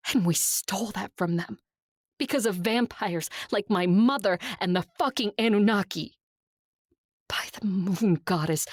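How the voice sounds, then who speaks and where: A woman's voice: medium pitch, no room sound, lightly acted. Woman playing an earnest vampire queen, dry recording. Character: idealistic vampire ruler, female, earnest, stubborn, secretly lovestruck. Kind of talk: audio drama acting.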